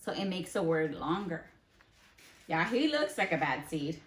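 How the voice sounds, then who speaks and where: A woman teacher leading an interactive read-aloud for children, expressive and full of guiding questions. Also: on the low side, measured pace, roomy sound. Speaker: elementary school teacher.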